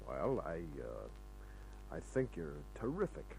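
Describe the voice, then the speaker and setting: Low-voiced wisecracking radio detective. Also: male voice, wildly swooping pitch, takes it slowly, vocal fry, a little mellow, soft background music, exaggerated voice, poor audio. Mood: flirtatious, casual. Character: wisecracking male private detective, flirtatious, tough, easygoing. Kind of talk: old-time radio drama acting with first-person narration. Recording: old radio recording with hum.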